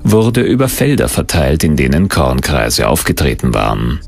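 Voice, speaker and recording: A man's voice: a bass voice, very animated, normal speed, vocal fry, a little mellow. A man narrating a scripted documentary.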